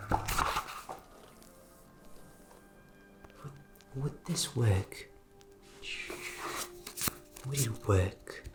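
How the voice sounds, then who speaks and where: A performer voicing a flustered, kindly fairy magic specialist in a fantasy ASMR roleplay, speaking softly and hesitantly, often dropping into a whisper. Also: mid-range voice. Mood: pensive, hesitant. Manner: hesitant, halting, repeats words, often whispering.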